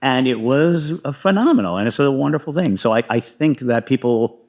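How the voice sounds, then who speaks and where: A middle-aged man with an American accent, chatting earnestly and at length as an interview guest, telling stories in an animated way. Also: medium pitch, a little fast. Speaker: podcast guest, filmmaker and puppeteer. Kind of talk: casual interview chat.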